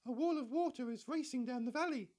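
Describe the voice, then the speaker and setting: A man voicing a shy, kindly giraffe with a squeaky, high voice in a children's storybook. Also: fairly quick, a little mellow. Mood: urgent warning.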